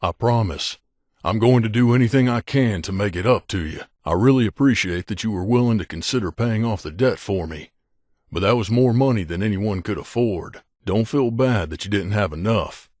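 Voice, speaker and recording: An actor playing a loyal, wry gunslinger companion in a video game, talking casually and familiarly. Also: male voice, lowish voice, moderate pace, a little mellow, no room sound, studio quality, lightly acted. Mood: sincere. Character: loyal wasteland gunslinger companion man, wry, devoted to his mother.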